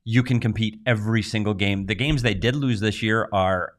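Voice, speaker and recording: A man's voice: on the low side, moderate pace. Male hockey co-host, emphatic and restarting. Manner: repeats words, restarts, excited and emphatic. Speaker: sports show co-host. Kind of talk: casual hockey chat.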